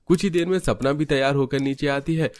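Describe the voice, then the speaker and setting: A man's voice: a little high, steady tone, a little fast, a little mellow, smooth. A man reading scripted narration for a cartoon family story.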